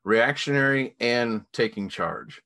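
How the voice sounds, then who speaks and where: An easygoing male podcast host from Missouri with a low, gravelly voice that still carries a bright, forceful edge. He chats casually and laughs often. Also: lively intonation, slow pace. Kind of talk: casual chat.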